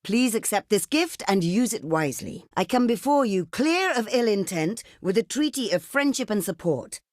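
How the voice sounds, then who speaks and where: An imperious, shrewd queen of a desert realm in a video game. Her voice sits fairly high and moves expressively up and down through formal, theatrical declamation. The recording is very dry and close, with no room around it. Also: no echo. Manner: regal, formal, measured declamation. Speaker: game character voice actor.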